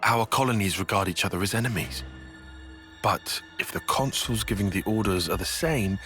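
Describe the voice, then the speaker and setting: A man's voice: lowish voice, moderate pace. An actor playing an analytical young male soldier in a video game, speaking in a formal, precise way. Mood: grave, reasoning. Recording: loud music behind.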